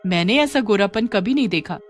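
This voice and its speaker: A woman's voice: medium pitch, expressive, brisk, faint music behind, natural voice. A woman voicing a curious eighteen-year-old student in a story, puzzled and full of questions. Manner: wondering, questioning. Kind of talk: Hindi story dialogue.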